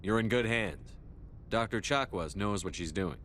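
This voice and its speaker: An actor playing a composed, reassuring starship commander in a video game, speaking in a direct, plain way. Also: male voice, on the low side, moderate pace, fairly bright tone, a little hiss or hum, natural voice. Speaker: ship's commander.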